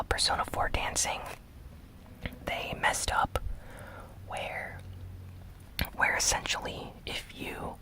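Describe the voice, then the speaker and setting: An ASMR gaming news host speaking in a breathy, unvoiced whisper, soft, unhurried and conversational. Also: very high voice, poor audio.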